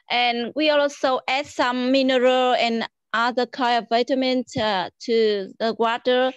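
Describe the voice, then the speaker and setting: A woman's voice: fairly high pitch, no room sound. A woman in her forties with a Vietnamese accent, talking over a video call in a polite, hesitant way with many fillers. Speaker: guest. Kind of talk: casual video call chat. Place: small room indoors. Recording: dull lo-fi call audio.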